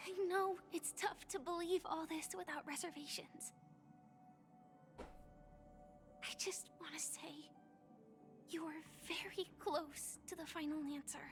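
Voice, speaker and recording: A woman playing a gentle, wistful young woman in game story dialogue, speaking softly with a hushed, breathy delivery. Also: very high voice, steady tone, slow, long pauses, heavily acted. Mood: soothing, mysterious. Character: gentle, soft-spoken young woman, quietly wistful and teasing. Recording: music behind the voice.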